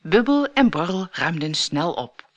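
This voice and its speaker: A woman narrates a children's story from a script. Her voice sits a little low and moves with lively, expressive intonation. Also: a little slow, muffled recording.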